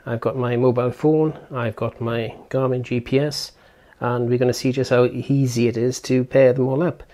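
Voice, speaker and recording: A man's voice: mid-range voice, flat delivery, normal speed, mellow. A man giving casual hands-on product commentary as a reviewer, explaining step by step and thinking aloud informally. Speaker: tech reviewer and presenter.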